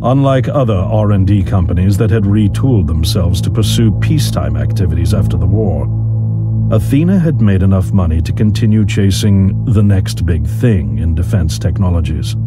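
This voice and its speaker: An actor playing a weary older physicist in a video game, recounting a catastrophe in a grave, measured, articulate way. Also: male voice, sits low. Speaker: scientist character. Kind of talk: scripted dramatic video game monologue. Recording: loud music under the voice. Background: loud game music.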